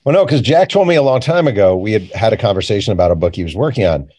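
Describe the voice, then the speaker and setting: Low voiced guy being interviewed, casual storyteller, talks like he's replaying conversations. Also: expressive, fast talker, darkish tone. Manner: informal, acts out remembered dialogue plainly. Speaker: guest, journalist and author.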